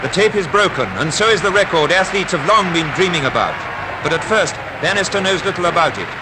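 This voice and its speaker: A man's voice: high-pitched, lively intonation, fairly quick, a loud crowd. A man with a British Received Pronunciation accent delivers formal, dramatic scripted newsreel commentary in a 1950s style. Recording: noisy 1950s archive newsreel soundtrack.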